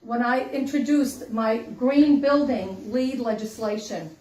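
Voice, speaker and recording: A woman's voice: on the high side, slow, warm tone, a little hiss or hum. A woman in her sixties from the Dominican Republic, giving an earnest, emphatic campaign speech at a meet and greet. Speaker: congressional candidate. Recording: echoey room, mic from far off.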